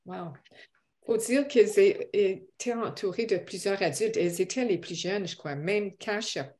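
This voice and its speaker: Slow-talking woman interviewer. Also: medium pitch. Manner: gentle, with hesitation fillers. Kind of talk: church interview.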